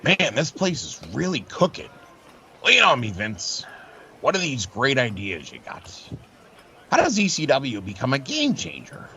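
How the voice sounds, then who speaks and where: A man's voice: mid-range voice, huge pitch swings, a little slow, pushed and bright, slight background noise, full character voice. A man playing an ambitious, grandiose wrestling promoter in an audio play, hustling and emphatic, with faint ambience behind him. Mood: eager. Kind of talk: comedic audio play acting.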